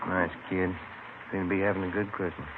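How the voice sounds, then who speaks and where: A brisk, polite police detective played by a man in a 1950s radio drama. His voice is fairly low and quick. The recording is tinny and clearly noisy. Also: flat delivery, close to an everyday voice. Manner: brisk, businesslike.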